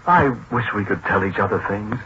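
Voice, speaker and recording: A man's voice: on the low side, lively intonation, fairly quick, close to an everyday voice. An actor playing a washed-up, hesitant, wistful man who stammers, in a 1940s radio drama. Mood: resigned, wistful. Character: washed-up, flustered man confiding in his machine. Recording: muffled, noisy 1940s radio recording.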